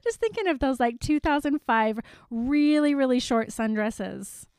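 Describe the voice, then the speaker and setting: A woman's voice: high-pitched, expressive, a little slow, a little mellow, clean tone, no echo, clean studio sound. A young woman co-hosting a podcast, chatting casually and animatedly about a book with frequent giggles. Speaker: podcast co-host. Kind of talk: casual book discussion chat.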